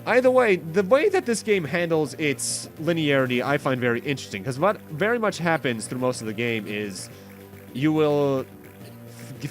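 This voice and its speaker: A man hosting a video game playthrough, giving commentary with rambling, self-interrupting asides. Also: medium pitch. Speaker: host of a game playthrough series. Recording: game music behind the voice. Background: video game music.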